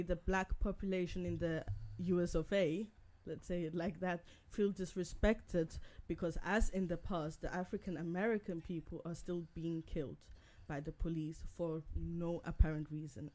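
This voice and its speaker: A woman from Switzerland hosting a casual group podcast, asking quick questions and laughing often. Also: lowish voice, fairly even intonation, darkish tone. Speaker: podcast host. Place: indoor podcast recording.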